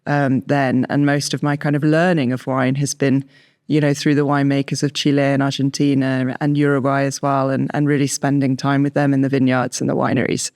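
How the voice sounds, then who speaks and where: A woman's voice: very deep voice, level tone, brisk, darkish tone. A woman in her thirties with a southern English accent, answering conversationally as an expert guest in an interview. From southern England. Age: 30s. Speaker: wine expert and writer, guest.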